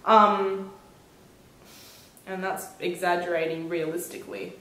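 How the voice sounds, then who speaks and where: A young woman chatting casually as a travel vlogger, telling stories in an animated way and acting out bits of dialogue. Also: on the low side, measured pace. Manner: animated storyteller, acts out reported dialogue. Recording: roomy echo.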